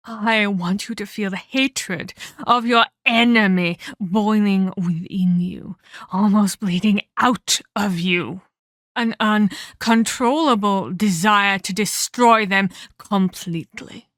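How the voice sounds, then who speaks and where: A woman performs a sinister female vampire captor in a dramatic ASMR roleplay. She speaks slowly and deliberately, with theatrical, condescending menace and taunting scorn. The sound is very dry, with no room around the voice. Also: mid-range voice, fairly bright tone, dry recording. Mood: intense, commanding.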